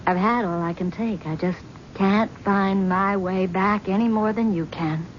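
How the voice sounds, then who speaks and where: A woman's voice: mid-range voice, unhurried, lightly acted. A woman plays a weary estranged wife, firm but resigned, with a mid-range voice. The recording is muffled old radio with faint hiss. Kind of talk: 1970s radio drama acting.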